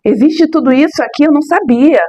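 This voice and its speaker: A middle-aged woman from Rio de Janeiro, a local tour guide answering interview questions in an emphatic, expressive way with many tag questions. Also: high voice, brisk, no echo, dull audio. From Rio de Janeiro, Brazil.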